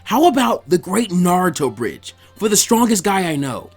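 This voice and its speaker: An excitable young boy acted by a man reading aloud, pitched high and swinging widely. He is stubborn and defiant, exclaiming his lines as in an audiobook tale. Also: normal speed, slightly rough, soft background music, exaggerated voice. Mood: excited.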